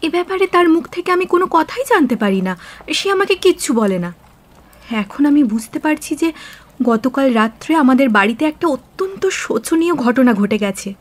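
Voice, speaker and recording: High-voiced anxious noblewoman. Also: expressive, normal speed. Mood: earnest, troubled. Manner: earnest, formal, urgent. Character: anxious, dignified aristocratic wife pleading with detective. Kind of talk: radio drama acting.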